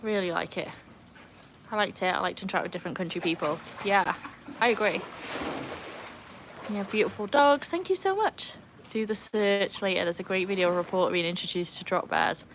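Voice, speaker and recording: A woman's voice: mid-range voice, lively intonation, brisk, a bit bright. Woman, mixed Welsh-English accent, young adult, casual livestream host, chatty, outdoors by a pool, faint background noise. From Wales. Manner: chatty, reads chat comments aloud, laughs easily.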